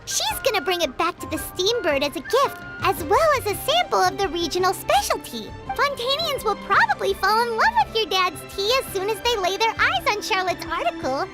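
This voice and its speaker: A woman's voice: very high-pitched, very animated, normal speed, hardly any pauses. A woman voicing a small, cheerful female companion creature who talks eagerly in a game, with music underneath. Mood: bubbly. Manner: bubbly, eager, chatty. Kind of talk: game voice acting. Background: game background music.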